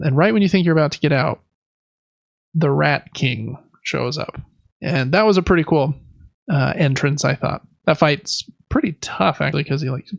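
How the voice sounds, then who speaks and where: A man's voice: fairly high pitch, gravelly, no room sound, dull audio. A man co-hosting a gaming podcast, chatting in an informal, meandering conversational way. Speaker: podcast co-host. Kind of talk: casual chat about video games.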